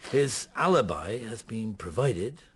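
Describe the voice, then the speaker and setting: Deep-voiced, shrewd detective inspector. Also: male voice, lively intonation, measured pace, slightly rough, exaggerated voice. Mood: measured, grave. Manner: hesitant, ruminative, courteous. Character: shrewd, avuncular middle-aged male detective inspector, unhurried and courteous. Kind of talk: radio drama acting.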